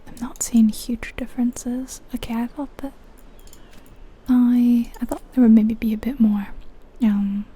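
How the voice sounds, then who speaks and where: A woman whispering softly and breathily in an unhurried, musing ASMR commentary on a video game. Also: a little high, flat delivery, husky. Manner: hushed, unhurried, musing aloud.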